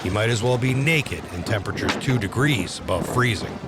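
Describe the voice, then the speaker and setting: Male reality show narrator over loud music. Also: lowish voice, lively intonation, a little fast. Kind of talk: scripted documentary narration. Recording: music bed behind voice.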